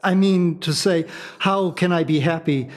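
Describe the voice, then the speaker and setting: An actor playing a fussy, devoted subordinate officer in a video game dub, speaking in a theatrical, officious way. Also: male voice, fairly high pitch, a little slow, close to an everyday voice. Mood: flustered.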